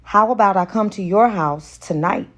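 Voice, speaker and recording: A woman's voice: mid-range voice, moderate pace, fairly bright tone, highs cut off, natural voice. A woman narrator voicing a smitten, conciliatory man courting someone in an audiobook romance. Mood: flirtatious.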